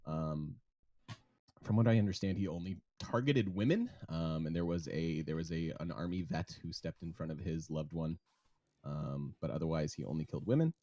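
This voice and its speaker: Calm low-voiced man, halting speech. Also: no echo, clean studio sound. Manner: calm, soft-spoken, halting with many ums and restarts. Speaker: interview guest. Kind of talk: hesitant personal account on a livestream.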